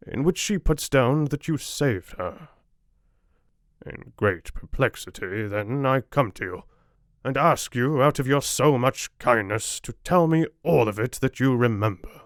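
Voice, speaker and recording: A man's voice: mid-range voice, no echo, clean studio sound, close to an everyday voice. A man voicing a courtly, earnest older foreign doctor with formal, quaint phrasing, as in an audiobook. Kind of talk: audiobook character dialogue.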